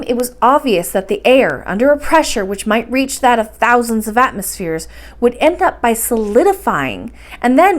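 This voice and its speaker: A woman reading a storybook aloud in an audiobook-style narration. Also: a little high. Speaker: storybook reader.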